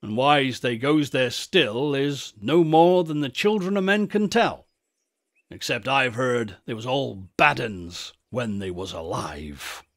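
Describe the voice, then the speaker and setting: A man's voice: medium pitch, expressive, takes it slowly, fairly bright tone. A man voicing a superstitious old shepherd in a storybook, with a rustic English country dialect and a grave, folksy, emphatic way of warning. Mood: grave, eerie.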